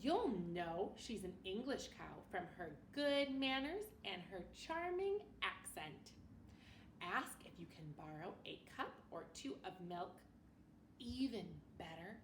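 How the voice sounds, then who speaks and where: Animated woman reading aloud, slow and roomy. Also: on the high side. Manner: animated, expressive, pausing to ask the listeners questions. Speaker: elementary school teacher. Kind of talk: picture book read-aloud for children. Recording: roomy, distant mic with faint noise.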